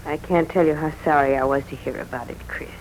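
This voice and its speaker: A woman's voice: deep voice, fairly even intonation, fast, darkish tone, lightly acted. An actress playing an anxious woman in danger, in a melodramatic 1940s radio drama style. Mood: uneasy. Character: anxious woman menaced by her lover. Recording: noisy vintage 1940s radio recording.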